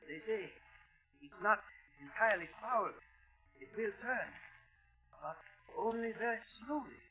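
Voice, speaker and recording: An actor playing a dutiful, anxious junior submarine lieutenant, hesitant and deferential, in old-time radio drama style. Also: male voice, high voice, animated, unhurried. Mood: uneasy. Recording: muffled vintage radio recording, music.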